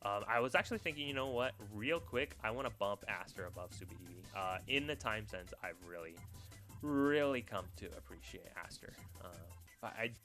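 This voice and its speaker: A man with a lowish, bright and forward voice gives an off-the-cuff review. He rambles and hesitates as he thinks aloud, with music faintly behind him. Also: lively intonation, normal speed. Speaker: YouTuber reviewing visual novels. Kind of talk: casual unscripted review commentary.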